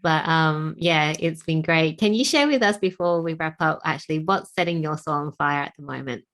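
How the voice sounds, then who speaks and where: A woman in her late thirties hosting a podcast, soft-spoken and affirming, with hesitant fillers. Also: sits low, normal speed. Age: 30s. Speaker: podcast host. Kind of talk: casual interview chat.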